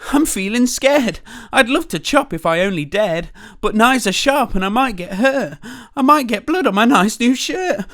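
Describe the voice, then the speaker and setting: A woman's voice: on the high side, expressive, moderate pace, a bit bright, voice pushed up. A woman voicing a timid, wimpy male cook who is scared of everything, fretful and wailing, in a children's storybook. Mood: panicky, scared. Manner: fretful, wailing delivery with repeated pleas.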